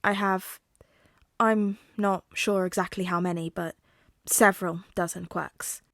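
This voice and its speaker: A woman playing a guarded father, speaking in a measured, formal way as in an audiobook story. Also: on the low side, steady tone, fairly bright tone, dry recording, clean studio sound. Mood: hesitant, explaining.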